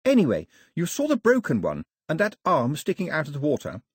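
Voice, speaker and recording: A woman's voice: low voice, huge pitch swings, brisk, husky, dry recording, studio quality, pitched above the performer's own voice, full character voice. A man voicing an earnest, proper young girl who speaks in a prim, insistent way. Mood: urgent, bossy.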